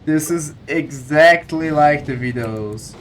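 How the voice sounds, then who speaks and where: A man's voice: a little high, takes it slowly. A man giving casual, step-by-step commentary, explaining as he goes. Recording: faint background noise.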